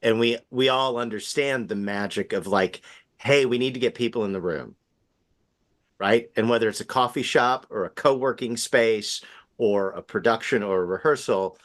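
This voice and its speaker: Low-pitched male panelist, casual and halting, frequently tags points with a questioning check. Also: a bit bright. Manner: halting, seeks agreement with frequent "right?".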